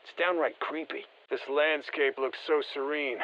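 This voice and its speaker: An actor playing a seasoned, wry special forces operative in a video game, speaking matter-of-factly through a radio filter. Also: male voice, on the high side, steady tone, normal speed, a bit bright, highs cut off. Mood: wry, reflective. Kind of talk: scripted game voice lines, field commentary.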